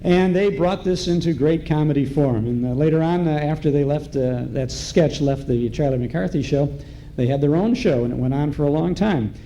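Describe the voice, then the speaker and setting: A man's voice: fairly high pitch, moderate pace, warm tone, low-quality recording. A man hosting a live radio event, introducing acts and commenting on old-time shows as master of ceremonies. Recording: live ballroom event, faint noise.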